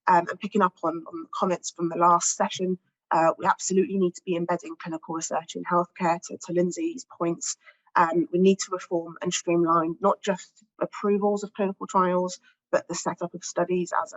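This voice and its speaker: A woman speaking on an expert panel, slightly croaky from a cold, giving a measured prepared statement with frequent ums and repeated words. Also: fairly low pitch, flat delivery, muffled recording. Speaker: research director on an expert panel.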